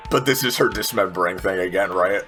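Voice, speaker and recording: A man's voice: low-pitched, fairly quick, a bit bright. A man playing a tabletop game, thinking aloud and describing his character's moves in colloquial, profane speech. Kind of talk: casual game chat and narration. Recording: music under the voice. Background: background music.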